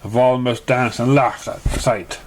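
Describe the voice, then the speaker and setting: A man narrating a fantasy fan story in an amateur read-aloud style, halting and stumbling over words. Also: mid-range voice, fairly even intonation, a little hiss or hum.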